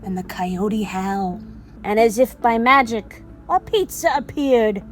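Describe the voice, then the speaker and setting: A woman's voice: medium pitch, a little slow, soft background music, close to an everyday voice. A woman acting in an audio drama as an exasperated storyteller, telling a mocking fable in a theatrical way. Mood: dramatic storytelling.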